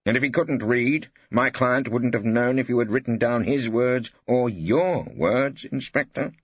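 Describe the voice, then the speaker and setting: A man's voice: mid-range voice, a little slow, slightly rough, dry recording, lo-fi sound, voice pushed up. A man playing a shrewd, combative old English barrister in an audiobook, insistent and given to pompous rhetorical flourishes. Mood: needling, rhetorical.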